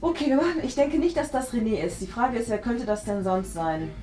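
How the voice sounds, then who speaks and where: High-voiced young woman, playfully thinking aloud. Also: very animated, a little fast, mellow. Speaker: host and contestant guessing in a game. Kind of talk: casual chat, thinking aloud. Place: small indoor studio room. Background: background music.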